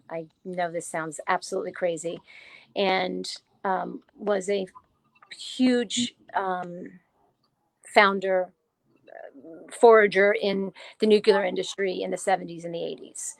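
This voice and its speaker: A woman in her forties from New Jersey, a guest author telling her life story in a casual interview, chatty and animated, laughing often. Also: medium pitch, creaky, no room sound, low-quality recording. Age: 40s.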